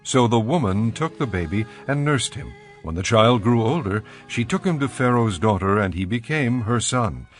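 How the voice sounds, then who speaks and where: A man narrating a dramatized scripture reading as a measured storyteller. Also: medium pitch, expressive, soft background music.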